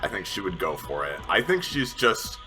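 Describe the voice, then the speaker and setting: A man's voice: low voice, huge pitch swings, normal speed, sharp tone. A man playing a tabletop game, chatting casually through his moves at the table. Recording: music bed behind the voice.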